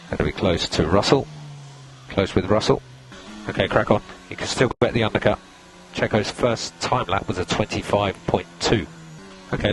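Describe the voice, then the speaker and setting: A calm male race engineer giving clipped, procedural instructions over team radio, repeating key points. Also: fairly low pitch. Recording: noisy radio link, engine noise.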